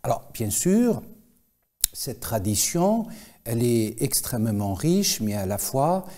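A man in his forties giving explanations as an expert interview guest, with formal, hesitant, deliberate phrasing. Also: medium pitch, very animated, dark tone. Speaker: guest expert, Islamic scholar. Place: TV studio, indoors.

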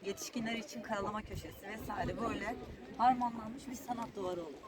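A woman's voice: medium pitch, quick pace. A woman giving polite, casual explanations in an interview, recorded outdoors by a harbour. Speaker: art teacher painting a mural. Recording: loud wind and boat engine noise.

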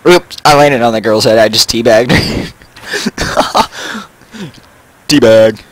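A man's voice: medium pitch, takes it slowly, slight background noise. A teenage boy chatting casually while playing a game, goofy and teasing, laughing often. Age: teens. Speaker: gamer recording gameplay. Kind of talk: casual gameplay chat.

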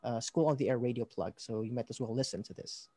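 A man with a Filipino accent hosting a live program informally, halting as he searches for words. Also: medium pitch, very noisy. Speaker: host.

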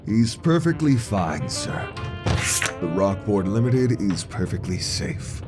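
An actor voicing a smug elf wizard train attendant with a soft, sensuous voice, in a comic dub. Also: male voice, lowish voice, sing-song, takes it slowly, music in the background. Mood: sly. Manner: sly, suggestive.

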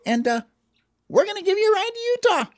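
A woman's voice: very high voice, big pitch swings, fairly quick, voice pushed up. A woman voicing a chatty, kindly little elf in a children's Christmas story. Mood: eager. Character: friendly, reassuring little pointy-eared Christmas elf.

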